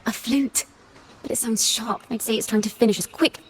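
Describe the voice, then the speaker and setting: A woman's voice: a little high, moderate pace, noisy background, close to an everyday voice. A woman voicing a cheerful, musing young wandering musician in a video game, with lyrical, theatrical phrasing. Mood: thoughtful. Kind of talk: video game character dialogue.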